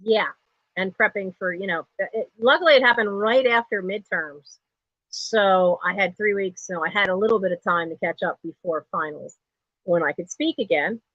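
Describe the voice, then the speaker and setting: Chatty middle-aged woman with a low voice. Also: moderate pace, no room sound. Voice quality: low speaking voice for a soprano. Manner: chatty and digressive, laughs often. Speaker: host of a live online sale. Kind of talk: rambling casual live-stream chat. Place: home. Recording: dull lo-fi stream audio.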